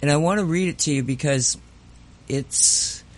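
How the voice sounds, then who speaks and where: Male host, a bit high-pitched, playful and rambling, quick to laugh. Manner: teasing, restarts often, laughs a lot. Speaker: radio host. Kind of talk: casual chat.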